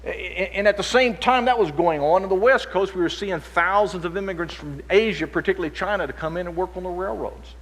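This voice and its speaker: Man, conference speaker, fairly high voice, husky, lecturing, stumbling repeats, echoing hall. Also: brisk, few pauses, a bit bright. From Chile. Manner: stammers and repeats words as he restarts. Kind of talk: lecture-style conference talk. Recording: long hall reverb.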